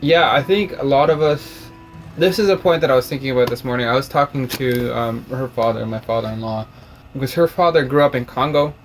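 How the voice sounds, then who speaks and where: Man, from Russia, co-host, casual reflective chat, average pitch, music underneath. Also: brisk, poor audio. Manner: relaxed, reflective, talks about faith.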